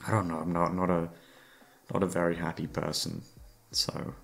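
A man with a gravelly voice rambling casually, tired and downcast, hesitant and self-deprecating, swearing often. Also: sits low, little pitch movement, moderate pace. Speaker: audio creator talking to listeners. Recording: music playing behind the voice. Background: soft background music.

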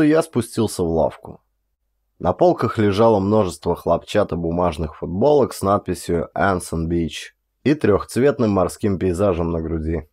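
Low-voiced guy reading a horror audiobook, dramatic, acts out the quotes. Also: darkish tone. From Russia. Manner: dramatic, lifts his voice for quoted speech. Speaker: audiobook narrator.

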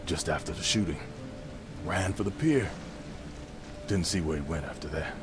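A man's voice: low-pitched, normal speed, close to an everyday voice. An actor playing a guarded townsman in a video game, answering questions in a clipped, matter-of-fact way.

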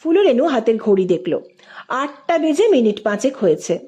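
A woman's voice: fairly high pitch, big pitch swings, normal speed, warm tone. A woman reading a literary story aloud as a scripted narrator.

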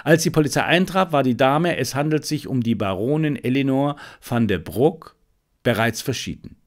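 Animated male audiobook narrator. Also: medium pitch, normal speed. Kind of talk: scripted narration of a detective story.

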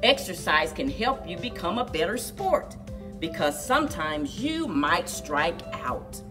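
Very animated woman reading to children, unhurried. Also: fairly low pitch, distant mic, music in the background. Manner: exaggerated, drawn-out storyteller delivery for young children. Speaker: children's librarian, story time host. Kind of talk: expressive picture-book read-aloud and leading action activity for children. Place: indoors, children's library room.

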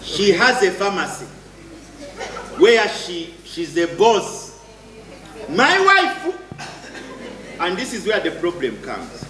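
A man's voice: sits high, very animated, slow, pauses often, pushed and bright, full character voice. A middle-aged man with a Ugandan accent playing a jealous, jobless, indignant husband in a stage comedy. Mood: aggrieved. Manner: repetitive, emphatic, indignant. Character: jealous unemployed husband, aggrieved and insistent. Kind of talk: live stage comedy acting. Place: theatre stage. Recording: distant echoey stage recording, audience behind.

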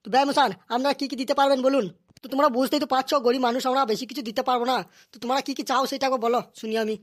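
An excitable, scheming village elder from a comedy cartoon played by a performer. The voice is high and rattles along at breakneck speed. The delivery is coarse, full of scolding and haggling. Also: very fast, close to an everyday voice.

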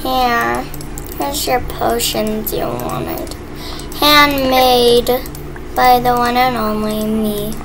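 A young woman with a very high voice chats at a slow pace as she plays out a role in a video game. She sounds playful and teasing, and the home recording has clear background noise. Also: steady tone. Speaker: gamer playing a role-play game with a friend. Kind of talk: casual role-play chat during gameplay.

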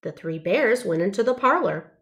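A woman's voice: medium pitch, animated, normal speed. A woman narrating a children's storybook, reading aloud carefully and expressively.

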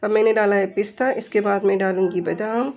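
A woman's voice: medium pitch, fairly even intonation, brisk, a little mellow, muffled recording. A woman with an Indian accent gives step-by-step instructions as a home cook in a recipe voice-over. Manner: explanatory, step-by-step.

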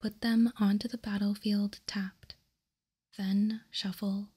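A woman speaks in a soft ASMR whisper, very breathy and mellow. Her delivery is slow and deliberate, as if reading aloud carefully, close to the microphone. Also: mid-range voice, level tone. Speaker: host.

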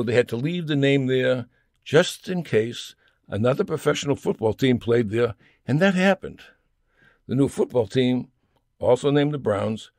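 Guy reading his funny essay out loud, wry and mock serious, medium pitch, dry recording. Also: creaky, no echo. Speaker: storyteller reading his own humorous essay. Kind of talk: scripted comic essay reading.

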